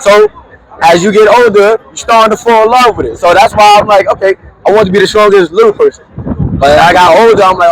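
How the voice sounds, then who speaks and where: A man in his twenties with a high, light voice speaks in an earnest, motivational way. He is a calisthenics athlete being interviewed outdoors in a city. A busy crowd chatters behind him. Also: low-quality recording. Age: 20s. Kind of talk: casual street interview.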